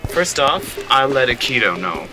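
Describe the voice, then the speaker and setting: A man's voice: mid-range voice, sing-song, normal speed, over music, lightly acted. A man playing an easygoing, teasing adult writer with a breezy manner in a radio drama. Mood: breezy, playful. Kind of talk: amateur anime-style radio drama acting.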